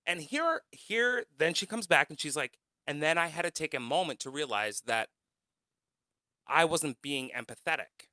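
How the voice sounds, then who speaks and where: Bright-voiced man, dry close sound. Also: on the high side, expressive, moderate pace, no room sound. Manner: storytelling, earnest, quotes others. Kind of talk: podcast conversation.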